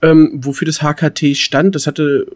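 A man's voice: fairly high pitch, flat delivery, normal speed, darkish tone. Rambling man chatting on a podcast. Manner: rambling, colloquial. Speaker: podcast co-host. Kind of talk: casual chat, anecdote telling.

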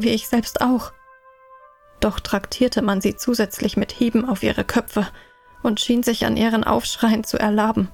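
A woman narrating an audio drama in first person, solemn and measured. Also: on the high side, creaky, darkish tone.

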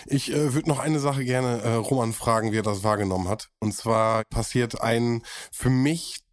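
Man, 30s, podcast co-host, casual and enthusiastic, mid pitch, dry sound. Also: moderate pace, dry recording. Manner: enthusiastic, bursts into excited exclamations.

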